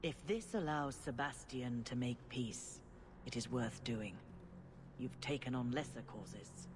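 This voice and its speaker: An actress playing an elderly female grand cleric in a game, speaking in a measured, formal, gently authoritative way. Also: very deep voice. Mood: solemn counsel. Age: older. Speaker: senior cleric. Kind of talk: dramatic game dialogue. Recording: clearly noisy ambience behind voice.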